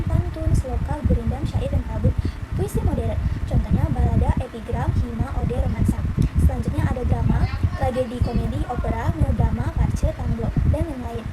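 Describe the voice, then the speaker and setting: High-voiced young woman reading, very noisy recording. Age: young adult. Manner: recitative, enumerating point by point. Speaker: student giving a presentation. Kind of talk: scripted academic presentation, read aloud. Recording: loud background noise with clicks.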